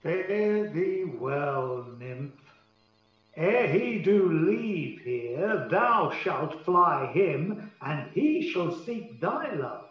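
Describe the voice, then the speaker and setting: A man's voice: fairly high pitch, big pitch swings, drawn-out words, exaggerated voice. A man playing a scheming, haughty fairy king in a Shakespearean radio play, relishing each phrase theatrically. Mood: commanding, scheming. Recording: muffled, very echoey, distant from mic.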